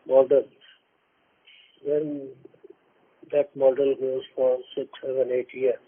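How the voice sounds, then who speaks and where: A man with an Indian accent speaking off the cuff as a company executive on a conference call, in deliberate, halting phrases that often repeat. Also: mid-range voice, slightly rough. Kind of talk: unscripted earnings call remarks. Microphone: telephone conference line. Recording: narrow tinny phone-line audio, muffled.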